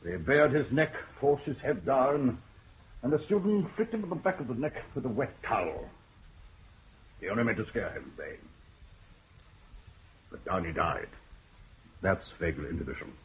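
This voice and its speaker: Guy doing a stubborn old-school doctor, lively up-and-down voice, muffled vintage radio sound. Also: medium pitch, natural voice. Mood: guarded. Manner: measured, authoritative, hospitable but insistent. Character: widowed male country doctor, proud, stubborn. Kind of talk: 1960s radio drama acting. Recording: muffled old radio broadcast, faint hiss.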